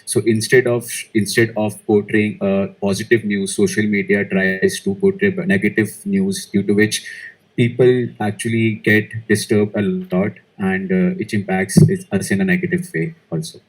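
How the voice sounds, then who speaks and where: A man whose first language is Malayalam speaks in a fairly low voice. He answers test questions hesitantly, often restarting his sentences and filling pauses with "uh.". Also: steady tone, low-quality recording. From India. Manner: hesitant, many fillers and restarts. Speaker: IELTS speaking test candidate. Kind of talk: exam interview answers.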